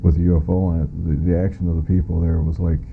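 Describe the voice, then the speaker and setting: Middle-aged American man, deep voice, creaky fry, matter-of-fact interview testimony, muffled lo-fi recording. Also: little pitch movement, fast talker, soft background music. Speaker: former air traffic controller giving witness testimony. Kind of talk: recounting first-hand testimony in an interview. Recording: muffled lo-fi audio.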